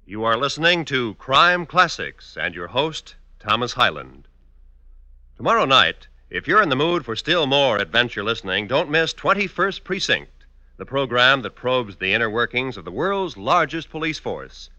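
A man's voice: medium pitch, big pitch swings, normal speed, pushed and bright, no room sound. A man announcing in an old-time radio style, polished and emphatic, reading a scripted promo.

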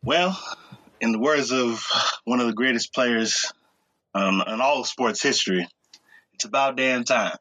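A man co-hosting a casual sports podcast, joking around in a playful, quick-to-laugh way. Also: medium pitch, pushed and bright, dry recording, rough recording. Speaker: podcast co-host.

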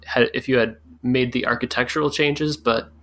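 A man's voice: mid-range voice, steady tone, normal speed, a bit bright. A man interviewing a guest, asking plain follow-up questions with some restarts.

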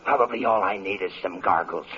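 An actor playing a nervous, easily alarmed American patient in a 1940s radio comedy, jittery and stammering. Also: male voice, low-pitched, fairly quick, fairly bright tone, slightly rough, full character voice. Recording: muffled, noisy vintage radio recording.

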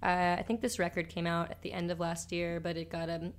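Low-pitched woman musician, easygoing chat. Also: flat delivery, brisk. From New York. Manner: easygoing, hesitant with ums, laughs easily. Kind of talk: casual chat about new songs.

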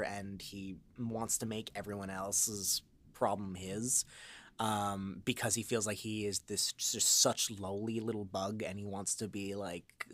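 A man chatting as an interview guest, expressive and thinking aloud in winding explanations about his craft. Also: lowish voice. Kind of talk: animated conversational interview about acting.

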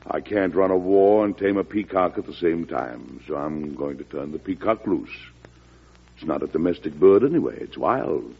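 An actor playing a wry, firm wartime American president in an old-time radio drama, measured and folksy, with an American accent. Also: male voice, sits low, animated, vocal fry, slightly rough, exaggerated voice. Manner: folksy, measured, dryly humorous. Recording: muffled vintage radio recording.

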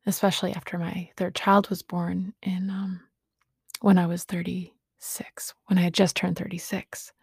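A woman in her late forties giving a reflective personal monologue as a podcast host, speaking haltingly in short phrases with a breathy, husky voice. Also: fairly low pitch, little pitch movement, darkish tone, no echo, studio quality. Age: late 40s.

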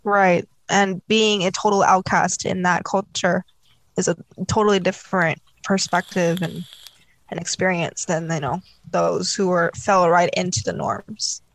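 A woman's voice: lowish voice, level tone, measured pace, no echo, low-quality recording. Casual woman, close dry sound. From South Korea. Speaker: conversation participant telling personal stories. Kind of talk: casual chat.

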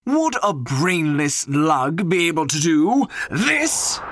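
Husky dim-witted sidekick voiced by an actor, earnest and sheepish, slow, very expressive, comic fantasy drama. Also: medium pitch, no echo, full character voice. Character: earnest, dim, easily wounded lug of a sidekick.

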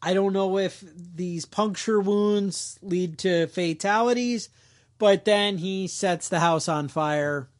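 A man with a notably high-pitched voice co-hosts a casual podcast. He tells the story in a wisecracking, lively way and slips into quick character voices along the way. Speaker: podcast co-host.

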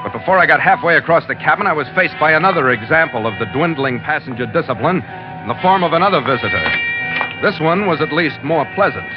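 Man acting a lively, curt ship's captain. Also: a little high, quick pace, natural voice. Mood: narrating. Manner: curt, commanding, clipped log-style delivery. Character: gruff, harried, no-nonsense male ship captain and narrator. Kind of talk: old-time radio drama acting and narration. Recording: muffled vintage radio recording with music.

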